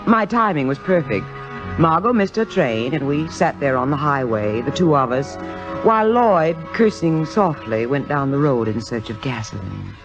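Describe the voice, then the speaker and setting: An actress playing a composed, wry married society woman who quietly schemes, with poised, confiding delivery in 1950s radio drama style. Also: a bass voice, expressive, normal speed. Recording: muffled old radio recording with music behind.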